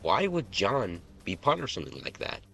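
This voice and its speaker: A man's voice: low voice, wildly swooping pitch, normal speed, creaky, pushed and bright, soft background music, pitched above the performer's own voice, exaggerated voice. A man voicing an earnest, troubled and determined male investigator in a story. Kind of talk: story character dialogue.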